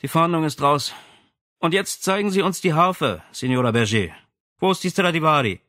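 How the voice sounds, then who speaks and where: A man voicing a brisk, stern policewoman in an audiobook, speaking in a firm, formal, insistent manner. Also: fairly high pitch, animated, sharp tone, dry recording, studio quality, voice pushed up. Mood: demanding. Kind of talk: audiobook character dialogue.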